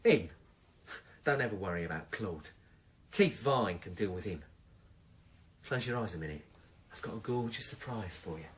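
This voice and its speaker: Male actor as jumpy criminal braggart, self-important, animated intonation, radio thriller. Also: on the low side, moderate pace, pauses often, slight background noise, natural voice. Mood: cocky, menacing. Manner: boastful, speaks of himself by name. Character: cocky small-time male criminal, boastful, jumpy, self-dramatising.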